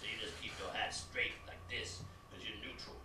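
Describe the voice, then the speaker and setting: A man doing a swaggering gang inmate who talks in slangy, profane street speech. He sounds distant and roomy, with steady noise behind him, as in a live stage recording. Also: fairly low pitch, normal speed, lightly acted.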